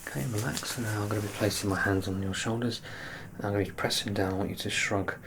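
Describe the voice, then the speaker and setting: A man with a fairly low voice performs an ASMR doctor roleplay. He instructs softly and reassuringly, as if guiding a patient through an exam. Clear background noise and ambience sit behind his voice. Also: steady tone, fast.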